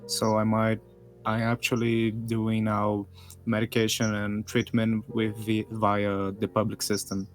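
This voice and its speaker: A nineteen-year-old man from Brazil, a Portuguese speaker talking English in a halting way with many restarts. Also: fairly low pitch, completely flat delivery, soft background music. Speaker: guest. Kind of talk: casual online group chat.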